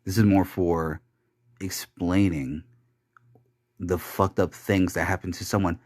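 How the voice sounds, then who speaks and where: A young man with a low, deep voice talks alone into the mic in a bitter, rambling confessional. He swears often and stumbles through restarts and fillers. The recording is dry and close, with no room sound. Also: fairly even intonation, a little mellow, no room sound. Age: young adult. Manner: halting, with many restarts and fillers. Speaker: podcaster. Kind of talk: confessional monologue.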